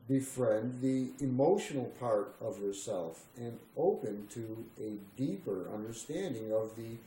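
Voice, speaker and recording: Male reader for spiritual text, slow and meditative delivery, roomy sound with slight background noise. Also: medium pitch, dark tone. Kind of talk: slow meditative scripted reading.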